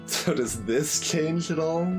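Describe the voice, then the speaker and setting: A man giving casual game commentary as a streamer, halting and thinking aloud as he chats with viewers. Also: fairly high pitch, music in the background. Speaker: livestreamer, game playthrough host.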